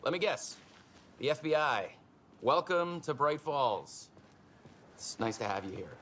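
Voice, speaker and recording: An actor playing an affable, folksy small-town sheriff in a game cutscene. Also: male voice, a little high, sing-song, measured pace, fairly bright tone, slight background noise. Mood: friendly.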